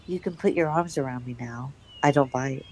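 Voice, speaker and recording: A woman playing a bold, secretive young rebel lover in a radio play, brisk and teasing. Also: a bass voice, darkish tone. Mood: teasing, intimate.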